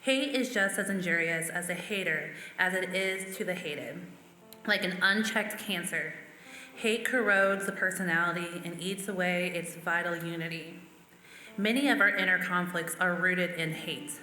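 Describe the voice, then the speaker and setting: A woman with a slightly low, bright voice reads quotations aloud. The hall is reverberant and music sits behind her. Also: normal speed. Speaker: church member reading. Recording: echoey hall, music underneath.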